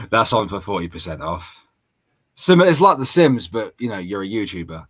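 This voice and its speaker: Male co-host for a gaming stream, low voice, casual joking banter with some swearing. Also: big pitch swings, normal speed.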